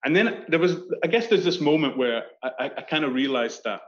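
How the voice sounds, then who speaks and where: A Scottish man in his thirties, an architect talking casually in an interview over a video call, animated and full of restarts. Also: on the high side, a little fast. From Scotland. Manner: animated, gestures with hands, many restarts. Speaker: architect guest. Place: indoor room on video call. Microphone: video call webcam. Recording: dull lo-fi call audio.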